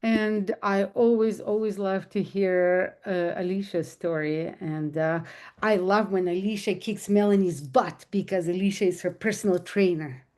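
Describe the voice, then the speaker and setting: A woman's voice: medium pitch, fairly even intonation, a little slow, a little mellow. Earnest Russian-accented woman. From former Soviet Union. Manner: earnest, emphatic, repeats herself. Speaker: guest speaker. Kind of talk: casual talk to an audience.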